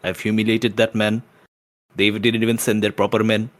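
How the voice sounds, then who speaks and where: A man playing a brash duelist in a tabletop game, emphatic and persuasive, mixing formal flattery with scorn. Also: lowish voice, normal speed, close to an everyday voice. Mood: flattering, scornful.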